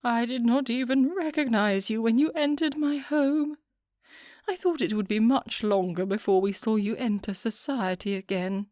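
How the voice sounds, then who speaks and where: A woman voicing a fussy, gushing older society hostess who talks in a simpering, excitable way. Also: normal speed, no echo, clean studio sound, natural voice. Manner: simpering, excitable, high-pitched.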